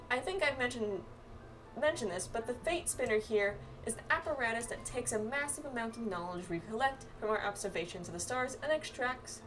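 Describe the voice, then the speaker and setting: Casual woman gamer, distant mic over music. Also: a little high. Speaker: gamer playing through a video game. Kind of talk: playthrough commentary and reading game dialogue aloud. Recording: distant mic under clear background music.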